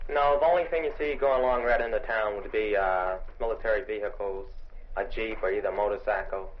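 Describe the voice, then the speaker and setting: A man's voice: fairly low pitch, fairly quick. A man, an army sergeant, answering interview questions in a plain, matter-of-fact way on an old field recording. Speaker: army sergeant, border observer. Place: observation tower. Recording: dull, noisy vintage field recording.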